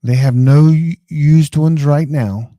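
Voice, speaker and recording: A man's voice: on the high side, slow pace, mellow, dry recording, clean studio sound. A man with an American accent hosting a casual live stream, rambling through tech advice.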